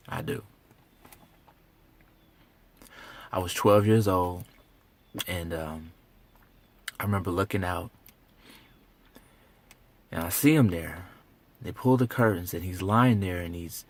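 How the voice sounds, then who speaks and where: A man performing a dramatic monologue as a grieving, bitter grown son of an executed father, with halting, emphatic repetition. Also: low voice. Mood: haunted, subdued.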